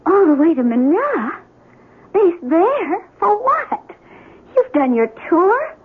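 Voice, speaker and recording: High-pitched, expressive American wartime wife. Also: female voice, slow, narrow, tinny audio, a little hiss or hum, full character voice. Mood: hurt, pleading. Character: devoted, possessive young wartime military wife. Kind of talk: old-time radio drama acting. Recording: band-limited old radio broadcast, faint music.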